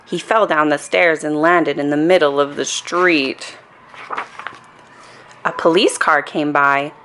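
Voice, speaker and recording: A woman reading a children's story aloud in an expressive, deliberate way with dramatic emphasis. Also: deep voice. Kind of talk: animated bedtime story read-aloud.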